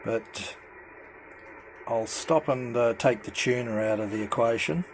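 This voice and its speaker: A man giving an unscripted hands-on demonstration as a hobbyist, speaking haltingly with many pauses. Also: mid-range voice, a little hiss or hum, low-quality recording. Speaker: amateur CB radio hobbyist presenter. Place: indoor room at home with radio gear.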